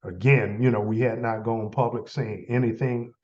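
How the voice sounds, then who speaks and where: A man with a cold, sounding a little stuffy, leading a members' meeting in a halting, filler-heavy way. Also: medium pitch, steady tone, a little mellow, muffled recording. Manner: halting, many fillers and restarts. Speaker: association leader running a meeting. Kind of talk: casual meeting update.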